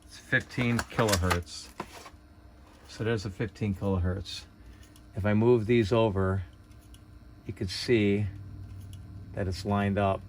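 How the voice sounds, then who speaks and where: Male hobbyist demonstrator, lowish voice, hesitant and unscripted, talking through a hands-on task over noticeable background noise. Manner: hesitant, unpolished, thinking aloud. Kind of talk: casual hands-on tutorial narration.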